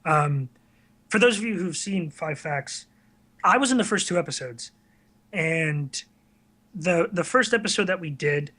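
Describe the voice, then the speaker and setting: A man's voice: fairly high pitch, lively intonation, a little slow, pauses often, bright, forceful tone. A man talking casually as a podcast guest, telling candid, self-deprecating stories in a conversational way. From US tri-state area. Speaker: podcast guest, online video creator.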